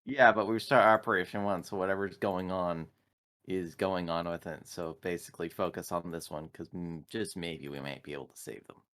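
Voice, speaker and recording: Male tabletop player, deep voice, casual game-table talk. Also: fairly even intonation, normal speed, a bit bright.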